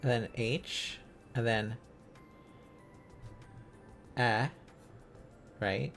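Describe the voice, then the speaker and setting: A man's voice: mid-range voice, fairly even intonation, very slow, vocal fry, a bit bright, soft background music. A man hosting a livestream, chatting casually in an enthusiastic, rambling, giggly manner. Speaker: livestream host. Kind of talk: casual livestream chat while learning Korean script.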